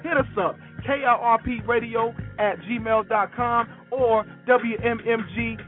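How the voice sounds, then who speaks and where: A man's voice: extremely high pitch, fairly even intonation, unhurried. A man hosting a radio show, reading a station promo in an upbeat, sing-song way. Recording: tinny phone-like sound with faint music.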